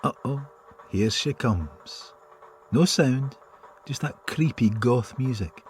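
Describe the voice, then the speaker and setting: An actor playing a weary middle-aged police detective in a radio drama, with a Scottish accent and a dry, wry manner. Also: male voice, mid-range voice, slow pace, natural voice. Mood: musing.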